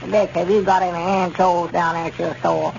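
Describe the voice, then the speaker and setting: An actor playing a folksy, easily puzzled old country storekeeper with a rural Southern accent in a 1930s radio comedy. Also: male voice, high voice, little pitch movement, moderate pace, gravelly. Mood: polite, puzzled. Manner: homespun, plain-spoken, grumbling. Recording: dull, noisy 1930s radio recording.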